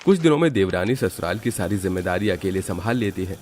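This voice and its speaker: A man's voice: low voice, lively intonation, fast, darkish tone, a little hiss or hum. A man narrating a scripted Hindi family story as its storyteller.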